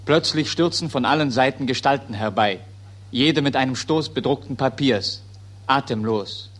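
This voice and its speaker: An actor as the grave narrator of a radio play, reading stage directions in a measured, precise, literary way. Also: male voice, mid-range voice, fairly bright tone, close to an everyday voice.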